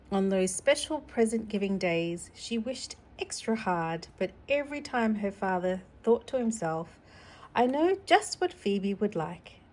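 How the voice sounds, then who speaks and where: A woman reads a children's picture book aloud at a very unhurried pace, with a mid-range voice. She is expressive and drops in simple, chatty asides for a young listener. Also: mid-range voice. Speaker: storytime reader.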